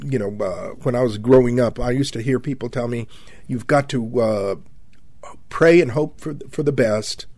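Husky seventy-year-old radio host. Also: male voice, medium pitch, darkish tone, dry recording. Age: 70s. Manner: halting, with many fillers and repeated words; folksy, addresses listeners as my friends. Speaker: radio talk show host. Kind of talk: conservative radio monologue.

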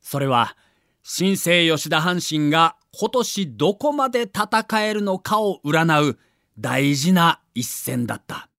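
A man's voice: a little high, sing-song, slow, a bit bright, no echo, clean studio sound. A man narrating a scripted documentary as a story, with dramatic emphasis.

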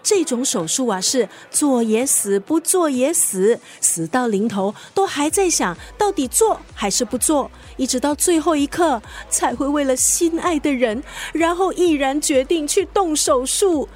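Sassy singaporean best friend, high pitched, blunt but caring, radio drama. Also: female voice, expressive, unhurried, few pauses, darkish tone. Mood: teasing, exasperated. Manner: colloquial, mixes in English words.

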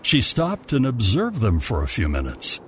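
A man's voice: fairly low pitch, wildly swooping pitch, moderate pace, fairly bright tone, a little hiss or hum. A man narrating a scripted radio story as the programme's announcer. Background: music.

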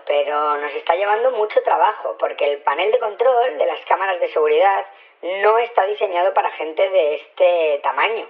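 Flustered tiny security chief on phone. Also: male voice. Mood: overworked. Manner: hesitant, candid. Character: flustered security chief shrunk to half size, small high voice. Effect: pitched-up tiny voice. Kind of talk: scripted radio drama phone interview. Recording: muffled narrow telephone line.

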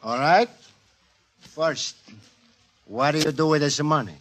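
A man's voice: a little high, takes it slowly, a bit bright. A male actor playing a naive, stubborn Italian immigrant who speaks hesitant broken English with an Italian accent in a 1940s radio comedy. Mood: suspicious, nervous. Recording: noisy, clicking 1940s radio recording.

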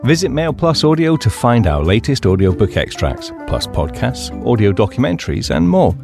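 A man with an English accent reads a humorous memoir as a scripted audiobook, in a measured, expressive storytelling manner. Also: medium pitch, gravelly, over music. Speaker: audiobook narrator.

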